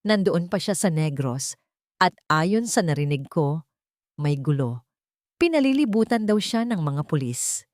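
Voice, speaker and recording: Elderly motherly housekeeper played by a female narrator, trembling but brave, urgent, lively pitch, for an audio love story. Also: measured pace, long pauses, dry recording, clean studio sound. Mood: urgent, reassuring. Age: older. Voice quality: trembling with fear. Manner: urgent hushed confiding.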